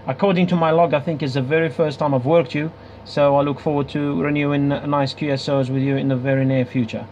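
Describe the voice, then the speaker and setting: A man's voice: on the high side, level tone, a little fast, a little mellow, slight background noise. A man chatting casually as an amateur radio operator at a club station, with hesitant, repetitive phrasing. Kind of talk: casual ham radio contact chat.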